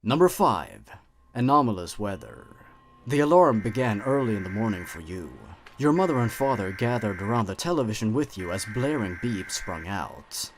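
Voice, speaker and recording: Hushed male horror narrator. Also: sing-song, moderate pace, a little hiss or hum. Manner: hushed, low second-person storytelling.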